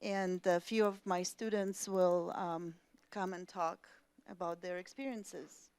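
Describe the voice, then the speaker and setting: A woman who teaches English learners at a high school, introducing students in a soft-spoken way. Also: steady tone, takes it slowly, clean tone, dry recording.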